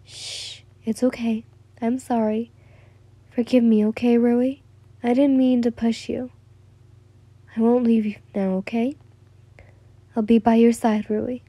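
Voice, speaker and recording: A woman's voice: on the high side, a little slow, mellow, dry recording. A woman playing a caring, conflicted young older-sister figure, apologetic and soothing as she pleads. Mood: soft remorse.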